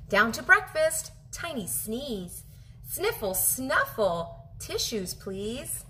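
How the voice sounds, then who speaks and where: Animated woman reading a picture book aloud. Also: on the high side, a little hiss or hum. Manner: animated, drawn-out phrasing with questions to young listeners. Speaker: teacher reading aloud to children. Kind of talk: expressive children's picture book read-aloud. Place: home kitchen, indoors.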